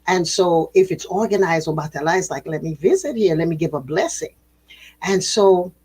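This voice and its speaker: A woman hosting a spiritual program, telling a traditional story in a casual, animated way with quick laughs and self-corrections. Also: low-pitched, breathy edge, poor audio.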